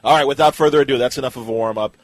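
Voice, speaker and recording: A man's voice: mid-range voice, very fast, dry recording. A man hosting a podcast interview in a casual, easygoing, agreeable conversational way.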